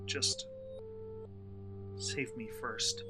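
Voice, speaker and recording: A man's voice: very slow, heavy background noise. An actor playing a desperate, frightened man in hiding from monsters, urgent and faltering, in a horror audio drama. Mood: desperate pleading.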